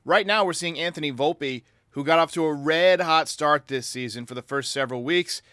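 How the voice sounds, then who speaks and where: A man's voice: a little high, normal speed, a bit bright, smooth, no room sound, clean studio sound. A man hosting a sports podcast, giving commentary in reply to fans. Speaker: podcast host. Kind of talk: sports commentary answering fan voicemails.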